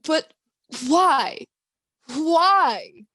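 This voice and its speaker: A woman's voice: high voice, animated, drawn-out words, pushed and bright, no echo, clean studio sound, heavily acted. A young woman playing a cheerful, earnest nephew in a school radio play, theatrical and pleading. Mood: pleading, warm. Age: teenager or young adult.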